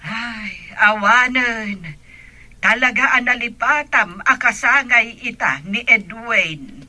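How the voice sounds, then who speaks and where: A woman playing a gentle, concerned grandmother in a radio drama, advising and soothing her grown daughter. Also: fairly high pitch, expressive, slow pace, exaggerated voice. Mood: disappointed.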